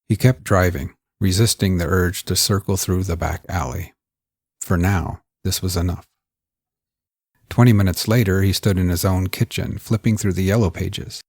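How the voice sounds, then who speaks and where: A man hosting and narrating scripted crime fiction in a measured, theatrical way, in the manner of old-school mystery shows. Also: sits low, steady tone, a little mellow, husky, dry recording, clean studio sound. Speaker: podcast host and narrator.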